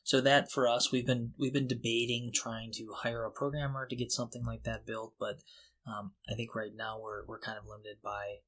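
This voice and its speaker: A man co-hosting a podcast, talking casually and explaining things in an informal, halting way. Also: medium pitch, level tone, a bit bright. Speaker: podcast co-host.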